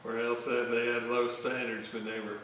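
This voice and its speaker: A man giving casual hands-on repair commentary, thinking aloud in a hesitant, halting way as he works. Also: medium pitch, flat delivery, a bit bright. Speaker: electronics restorer narrating a repair video. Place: indoor room at a workbench. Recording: very echoey room, distant mic, clear hiss.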